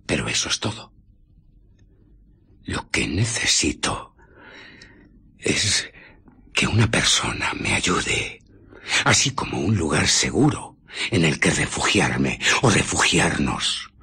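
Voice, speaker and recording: An actor playing an embittered, menacing invisible scientist in a Spanish radio drama, with a Castilian accent, husky breath and intense, halting emphasis that sinks into whispers. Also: male voice, rumbling low, sing-song, bright, forceful tone, full character voice. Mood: brooding, intense.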